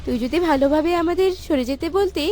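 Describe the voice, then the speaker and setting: A woman's voice: sits high, fast, mellow, lightly acted. A woman voicing a mature village neighbour in a cartoon, indignant and remonstrating in an exclaiming way. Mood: reproachful. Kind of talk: cartoon voice acting. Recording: music behind the voice.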